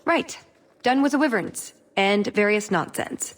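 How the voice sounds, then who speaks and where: An actress playing a quick-witted, practical elf rogue in a video game, talking in a dry, casual, cheeky way. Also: female voice, medium pitch, lively intonation, close to an everyday voice. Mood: wry. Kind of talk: video game cutscene acting.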